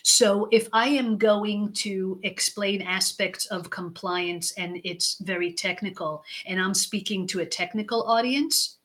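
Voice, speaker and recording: Woman expert talking slow and steady in lists, average pitch, ends trail off quiet. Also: poor audio. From United States. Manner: deliberate, stacks phrases in lists, trails off softly at ends. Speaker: guest expert on leadership and communication. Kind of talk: measured interview answers.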